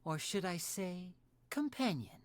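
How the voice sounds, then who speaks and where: Playful young male magician, lively and unhurried, acted out. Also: mid-range voice, close to an everyday voice. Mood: grandly playful. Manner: theatrical, measured, teasing. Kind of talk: video game character voice acting.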